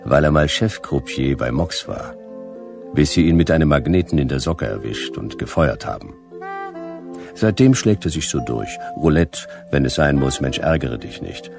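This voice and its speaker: A man's voice: rumbling low, vocal fry, exaggerated voice. A man playing a laconic, sardonic private detective in a noir radio play. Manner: laconic, sardonic, clipped sentences. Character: hard-boiled, dry, wry male private detective.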